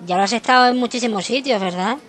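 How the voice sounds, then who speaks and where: A woman's voice: a little high, fast, a bit bright, faint music behind, lightly acted. A woman playing a naive, good-natured family man in a comic radio play, with an accent from Spain and an exclamatory delivery. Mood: friendly, curious. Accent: Spanish (Spain).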